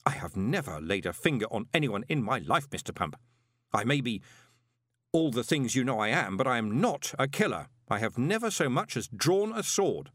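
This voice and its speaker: A man voicing a glib, quick-witted male swindler turned postmaster in an audiobook, brisk, assertive and persuasive. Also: on the high side, wildly swooping pitch, a bit bright, dry recording, studio quality, pitched above the performer's own voice, exaggerated voice. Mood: indignant. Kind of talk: audiobook character voice.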